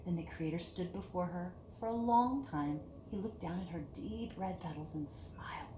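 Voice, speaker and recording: A woman reading a picture book aloud to children in an expressive, deliberate storytelling manner, with hushed dips and emphatic lifts. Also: on the low side. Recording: echoey room with clear background hiss.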